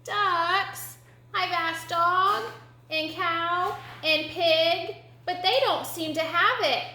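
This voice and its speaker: A woman voicing a small, earnest young female kitten in a children's storybook, pleading as she asks her questions in drawn-out words. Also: very high-pitched, bright, forceful tone, a little hiss or hum, voice pushed up, exaggerated voice. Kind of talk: children's storybook read-aloud. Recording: roomy echo.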